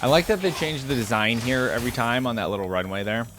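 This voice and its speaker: A man hosting a casual reaction video, chatting excitedly and thinking aloud with lots of fillers. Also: mid-range voice, fast, noisy background.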